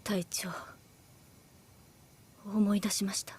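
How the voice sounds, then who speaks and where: An actress playing a shrewd, languid young woman soldier in anime, calm and teasing, with a soft, unhurried, analytical way of speaking. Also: medium pitch, noisy background, close to an everyday voice. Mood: subdued, serious. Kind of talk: Japanese anime voice acting. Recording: dialogue over background music.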